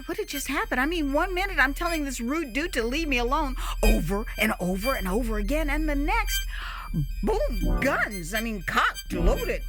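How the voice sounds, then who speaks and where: American lady narrating her audio drama, super animated n theatrical, highish voice, bit of music behind. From United States. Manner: theatrical, expressive, with gasps, sighs and dramatic pauses. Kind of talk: dramatic scripted first-person storytelling.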